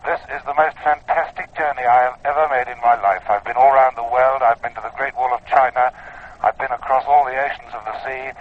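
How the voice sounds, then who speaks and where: A man reporting unscripted from the field for radio, in a grandiose, awed and halting manner. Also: medium pitch, fairly even intonation. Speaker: expedition reporter. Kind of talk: unscripted on-location radio commentary. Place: outdoors, sheltering under a blanket in wind. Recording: phone-like, noisy vintage field recording. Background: noise, wind.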